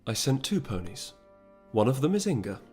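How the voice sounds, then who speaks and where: A man's voice: mid-range voice, very animated, darkish tone, husky, faint music behind. Man voicing a subdued, regal pony princess. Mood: weary. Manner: measured, subdued, formal. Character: regal pony princess, composed and weary ruler. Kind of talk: dramatic audiobook character voice.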